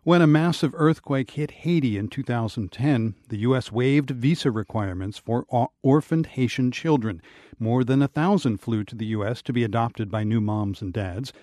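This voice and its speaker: A man hosting a radio news program, reading scripted introductions in a measured, even delivery. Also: medium pitch, no room sound, studio quality.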